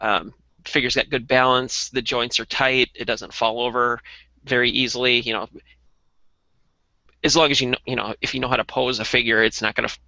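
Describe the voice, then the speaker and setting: A man in a casual chat about collectible toys, reviewing them as a co-host with halting, detailed explanations. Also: mid-range voice, flat delivery, pushed and bright, dry recording, dull audio. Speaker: toy reviewer and podcast co-host.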